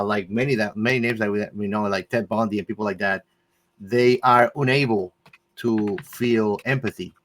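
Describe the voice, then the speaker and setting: Lower-voiced man, halting podcast panelist. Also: fairly bright tone. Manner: halting, searching for words. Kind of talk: casual discussion, thinking aloud.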